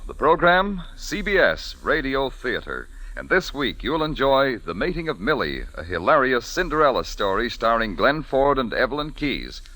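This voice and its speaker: A man's voice: mid-range voice, pushed and bright. A man with an American accent announcing in old-time network radio style.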